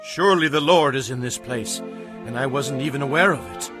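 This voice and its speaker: A man's voice: mid-range voice, fairly quick, over music, close to an everyday voice. An actor playing an earnest, devout young herdsman in a dramatized audio Bible, speaking emphatically. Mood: awed.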